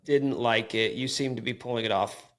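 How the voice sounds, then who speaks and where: A man's voice: medium pitch, normal speed, fairly bright tone. A man hosting a casual sports podcast, talking in a playful, teasing, mock-bossy way. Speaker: podcast host.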